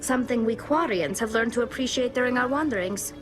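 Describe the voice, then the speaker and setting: A woman's voice: on the high side, brisk, over music, close to an everyday voice. A woman voicing an earnest, loyal young alien woman engineer who speaks formally and from the heart. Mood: wondering. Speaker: crew member.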